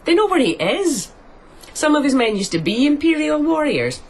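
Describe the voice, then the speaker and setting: Lively, scornful widow farmer. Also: female voice, high voice, normal speed. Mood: scornful, amused. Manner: mocking, forthright, laughs as she talks. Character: shrewd, scornful widowed farm owner, bossy and mercenary. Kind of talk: radio drama acting.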